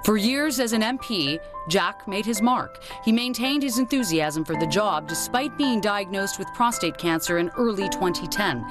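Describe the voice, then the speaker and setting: A woman's voice: medium pitch, expressive, normal speed, hardly any pauses. Woman narrating over prominent music. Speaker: news reporter. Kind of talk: scripted obituary narration. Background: prominent background music.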